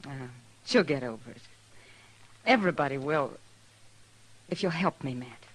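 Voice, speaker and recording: A woman's voice: extremely low pitch, expressive, moderate pace, slightly rough, exaggerated voice, low-quality recording. An actress playing a world-weary, defiant frontier woman in a radio western, plaintive but hard-edged. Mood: resigned, pleading. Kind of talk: 1950s radio western acting.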